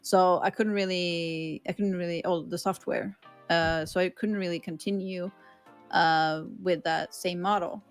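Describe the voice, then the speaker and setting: Woman, Swedish accent, non-native English speaker, streamer guest, rambling and giggly, lowish voice. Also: fairly even intonation, unhurried, soft background music. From Sweden. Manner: rambling, giggly, many fillers. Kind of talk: casual interview chat.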